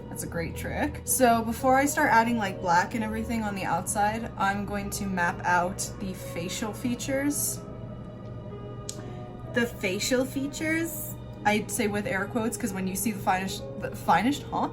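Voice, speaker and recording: Woman, medium-pitched voice, casual tutorial host, informal, offhand, self-deprecating, clear background music. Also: lively intonation, unhurried. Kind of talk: casual makeup tutorial chat.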